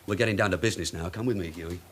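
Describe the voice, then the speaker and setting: An actor playing a shrewd, calculating police sergeant in a radio drama, pressing and needling with controlled questions. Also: male voice, deep voice, rapid-fire, heavy background noise, close to an everyday voice. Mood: pressing, menacing.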